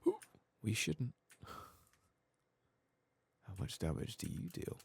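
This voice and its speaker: A soft-spoken man giving rambling ASMR commentary as he plays a game, sometimes dropping into a whisper. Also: on the low side, huge pitch swings, takes it slowly, gravelly, slightly rough. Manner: rambling, musing to himself; often drops into whispers. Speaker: host of a gaming playthrough channel.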